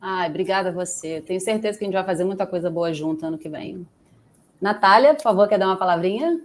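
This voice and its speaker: A woman's voice: on the low side, fast, a little hiss or hum. A woman with a Brazilian Portuguese accent moderating a panel and introducing speakers in a friendly, informal way.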